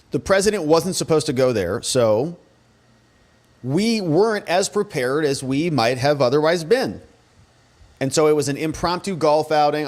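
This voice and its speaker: A man giving casual, sarcastic commentary as a show host, speaking in a colloquial way. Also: fairly high pitch. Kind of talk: casual commentary reacting to press conference clips.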